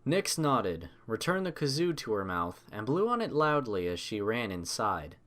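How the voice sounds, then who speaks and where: A man narrating a scripted story with expressive, dramatic, measured delivery. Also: fairly low pitch.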